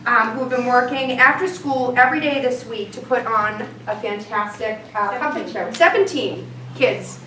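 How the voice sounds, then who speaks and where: A woman's voice: fairly high pitch, moderate pace, pushed and bright. A woman giving an informal welcome speech to an audience as organiser of a children's puppet show. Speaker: children's theatre programme organiser introducing a show. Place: community building hall, before an audience. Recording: echoey hall, distant microphone, crowd noise.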